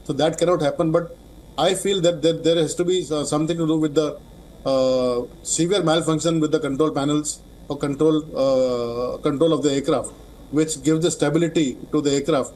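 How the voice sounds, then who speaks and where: Male expert guest for news interview, Indian accent, fairly high register, halting and repetitive phrasing. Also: fairly even intonation, darkish tone, a little hiss or hum, low-quality recording. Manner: hesitant, halting, with repeated words.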